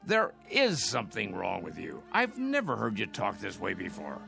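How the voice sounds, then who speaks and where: Gravelly, crotchety old man. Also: on the low side, wildly swooping pitch, moderate pace, over music, full character voice. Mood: alarmed, scolding. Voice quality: creaky, gravelly. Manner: fretful, scoffing, stammering. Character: crotchety, worried old man, stubbornly down-to-earth. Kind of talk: radio drama acting.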